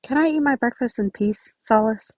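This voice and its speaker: A woman's voice: on the high side, moderate pace, no echo, muffled recording, lightly acted. Woman playing a sullen, sardonic teenage boy. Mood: curt. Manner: curt, dry, sardonic.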